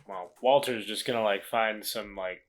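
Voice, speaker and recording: A man's voice: lowish voice, moderate pace, a little hiss or hum. A man playing in a tabletop roleplaying podcast, casually improvising what his character does.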